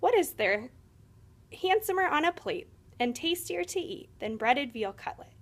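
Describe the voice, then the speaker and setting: A woman's voice: high voice, sing-song, a little slow, pitched above the performer's own voice, heavily acted. A woman voicing a hearty, proper father in a children's storybook, speaking somewhat formally. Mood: hearty, appreciative.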